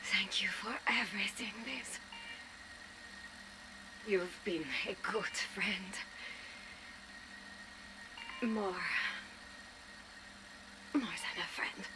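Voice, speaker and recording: Resolute young heroine, slow soft delivery, half-whispered, noisy game audio. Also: female voice, medium pitch. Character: determined young woman with powers, resolute.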